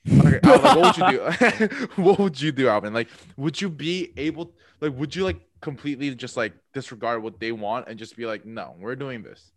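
A young man presses his friends with questions in a casual chat, animated and tripping over his words. His voice sits at a middle pitch. The recording is close and dry. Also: a little fast, no room sound.

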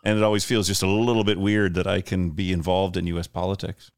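A man's voice: deep voice, little pitch movement, fast talker, clean tone, no echo, clean studio sound. A man from Canada co-hosting a casual talk, asking joking, teasing questions. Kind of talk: casual conversation.

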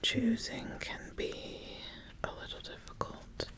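The ambitious witch at a back-room card table in a fantasy ASMR roleplay, whispering very slowly and deliberately as she sizes up a newcomer, acted out by a woman. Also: lightly acted. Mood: patient, guiding. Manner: slow, deliberate, hushed, with lingering pauses and repeated phrases. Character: ambitious witch, intrigued, sizing up a would-be apprentice. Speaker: ASMR host playing a roleplay character.

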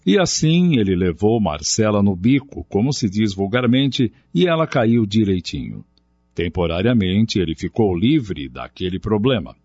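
A man's voice: on the low side, sing-song, measured pace, darkish tone, husky, dry recording. A man narrating a scripted radio drama. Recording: dull lo-fi audio, music underneath.

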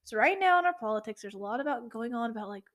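A woman's voice: on the high side, animated, racing. A woman in her twenties co-hosting a podcast in casual true-crime chat, chatty and swearing, with ranting asides. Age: 20s. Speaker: podcast co-host.

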